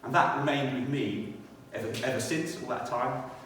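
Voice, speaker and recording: A man's voice: medium pitch, normal speed, fairly bright tone. British man testifying in an echoey church. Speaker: church speaker giving testimony. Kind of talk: personal testimony and scripture reading. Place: inside a church. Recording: echoey church hall, distant, faint noise.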